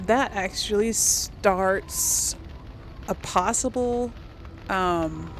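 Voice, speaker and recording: A woman's voice: medium pitch, extremely slow, slight background noise. A woman giving casual, rambling commentary on a video game, thinking aloud to her viewers. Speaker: host of a video game let's play.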